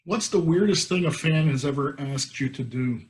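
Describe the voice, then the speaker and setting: A man's voice: fairly high pitch, moderate pace. A man hosting an interview, asking questions casually with short reactions and stammered restarts like an eager fan. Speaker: show host and interviewer.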